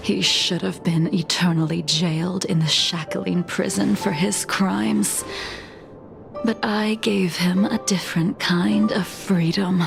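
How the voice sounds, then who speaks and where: A woman voicing a cold, stoic immortal swordswoman in a video game, measured and grave with a breathy edge to the voice. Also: lowish voice, fairly even intonation, over music, exaggerated voice. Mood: bitter, grave. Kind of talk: dramatic game dialogue.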